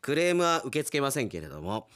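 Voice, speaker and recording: A man's voice: mid-range voice, very animated, fast, fairly bright tone, no room sound. A man hosting a radio show, chatting casually and playfully like an announcer, with conversational asides.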